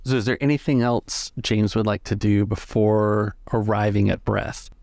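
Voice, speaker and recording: A man's voice: fairly low pitch, steady tone, moderate pace, creaky, a little mellow, no room sound, clean studio sound. A man acting as game master, improvising the narration of a tabletop roleplaying game. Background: music.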